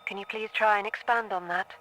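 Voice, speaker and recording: A woman playing a calm, reassuring therapist in an audio drama, speaking in a measured, formal and soothing way. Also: mid-range voice, close to an everyday voice. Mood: gently probing. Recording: dull lo-fi tape with background noise.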